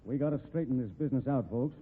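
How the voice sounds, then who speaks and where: This is a dry, unhurried cowboy sleuth, voiced by a man in a vintage radio mystery. His mid-range drawl stays level as he pokes at each suspect. The audio is narrow and tinny, like an old broadcast. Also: mid-range voice, husky, natural voice. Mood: probing. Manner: laconic, dry, probing. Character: calm, dry rodeo manager investigating a murder. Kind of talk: 1940s radio acting.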